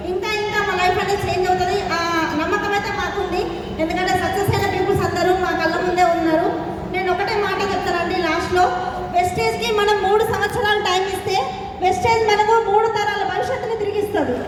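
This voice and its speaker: Female testimonial speaker, Telangana Telugu accent, earnest, heard from far off in a very reverberant, noisy hall. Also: very high-pitched. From Godavarikhani, Telangana, India. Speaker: network marketer giving a testimonial. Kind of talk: motivational testimonial speech to an audience. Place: large echoing hall. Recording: very echoey hall, distant mic, noisy.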